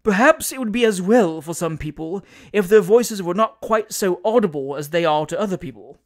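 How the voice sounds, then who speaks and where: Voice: mid-range voice, sing-song, normal speed, close to an everyday voice. A narrator voicing a haughty, easily offended society matron with a cutting, emphatic manner. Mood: haughty indignation.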